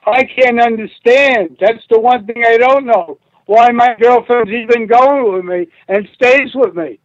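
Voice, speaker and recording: Older man on a dull lo-fi line, slow and defensive. Fairly high voice, close and dry sound. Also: dry recording. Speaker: interview guest. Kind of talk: call-in interview.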